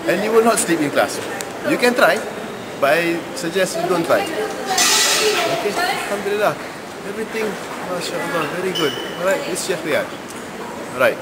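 A man's voice: high-pitched, huge pitch swings, moderate pace, pauses often. A middle-aged man from Malaysia giving a playful testimonial, teasing with mock warnings. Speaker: seminar attendee. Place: indoor seminar hall. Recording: loud hiss and room hum.

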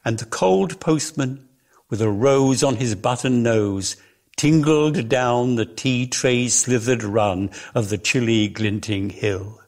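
A man giving an expressive, theatrical reading of lyrical prose as a measured storyteller. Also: medium pitch. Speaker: reader of a dramatic story.

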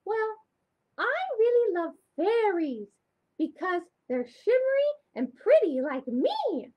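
Woman voicing an excitable squeaky girlish flamingo puppet. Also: slow pace, lo-fi sound, exaggerated voice. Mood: dreamy. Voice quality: high, squeaky. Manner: bubbly, exclaiming. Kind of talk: children's storytime puppet show.

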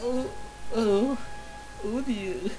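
A woman's voice: a little high, drawn-out words, music in the background, low-quality recording. A woman playing a video game, chatting in a goofy, mock-dramatic way with her tools as if they were old friends. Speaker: gaming YouTuber. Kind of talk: comedic let's play commentary.

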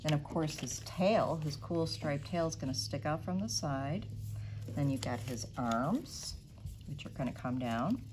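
A middle-aged woman, a children's librarian, reading a storybook aloud with animated sound effects and then walking kids through a craft, recorded on a lapel mic in a library room. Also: low voice, measured pace, background noise.

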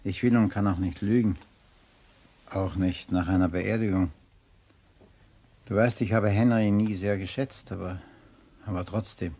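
An actor playing a frank estate agent and old family friend in a German crime radio play, speaking in a familiar, direct way. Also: male voice, low-pitched, steady tone, unhurried, pauses often, dark tone, husky, heavily acted. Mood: sincere sympathy.

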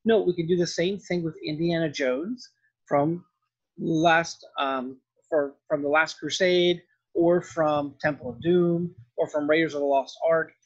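A man's voice: a little high, fairly even intonation, measured pace. A man giving an informal, enthusiastic narrated slide lecture as a professor. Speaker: film and art history professor. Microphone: voice-over on slides. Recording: dull, lo-fi voice-over recording.

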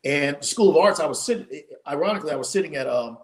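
Guest author, forties, male, animated storyteller on a home video call, voice tiring. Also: on the high side, quick pace. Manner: animated, storytelling with many restarts. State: losing his voice. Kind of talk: casual interview storytelling. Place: home room, indoors.

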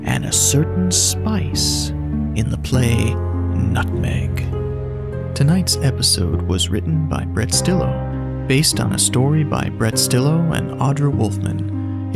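A man's voice: mid-range voice, vocal fry. A man narrating a scripted outro as the narrator of an audio drama podcast. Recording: prominent instrumental music behind.

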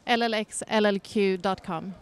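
A woman introducing her shoe line at a press junket in a measured, plain way. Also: mid-range voice, steady tone, slight background noise. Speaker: shoe brand founder.

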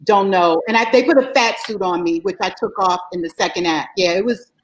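A middle-aged woman telling a backstage story in casual chat on a video call, animated and laughing as she goes. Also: mid-range voice, fairly quick, rough recording. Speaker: guest performer.

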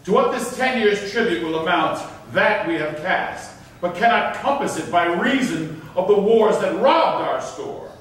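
A man's voice: sits high, expressive, a little slow, a bit bright, slight background noise. An actor playing a scornful, imperious governor in a classical verse stage reading, declaiming his commands. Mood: commanding. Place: stage of an auditorium, indoors. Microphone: distant from the audience area. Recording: echoey concert hall, far microphone.

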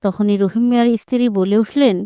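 A woman's voice: medium pitch, steady tone, brisk, warm tone, smooth, no echo, studio quality. A woman narrating a scripted cartoon story.

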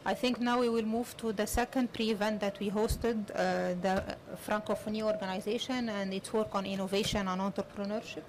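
A woman's voice: mid-range voice, fairly even intonation, normal speed, hardly any pauses, noisy background. A woman moderating a conference session, handing the floor to speakers politely. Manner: brief, polite handovers. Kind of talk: moderating a panel. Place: large conference hall.

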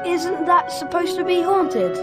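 A woman's voice: very high-pitched, expressive, loud music behind the voice. A woman with an English accent voicing a modest, curious schoolboy wizard hero in a video game. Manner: measured, questioning. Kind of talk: video game cutscene acting.

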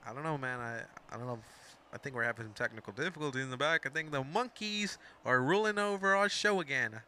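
A man's voice: medium pitch, fast talker, sharp tone. Chatty young man commenting on wrestling. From Mexican. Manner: rambling, chatty, self-interrupting with asides. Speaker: fan commentator of a wrestling video game storyline. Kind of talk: casual play-by-play commentary.

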